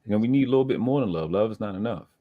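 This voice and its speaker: A man's voice: lowish voice, expressive, very fast, no echo, narrow, tinny audio. A man in his thirties talking earnestly and reflectively as a panelist in a casual live-stream discussion. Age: 30s.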